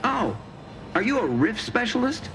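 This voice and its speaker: An actor voicing a haughty, threatening station officer in a video game, pompous and wordy in manner. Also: male voice, very high-pitched, big pitch swings, measured pace, full character voice. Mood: indignant, threatening. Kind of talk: theatrical video game acting. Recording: clear background machinery ambience.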